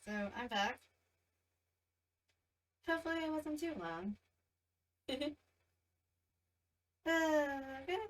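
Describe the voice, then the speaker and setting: Halting woman streamer, distant mic. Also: high voice, expressive, fairly bright tone. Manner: halting, filler-heavy, flustered. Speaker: game streamer. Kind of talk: casual live-stream chat.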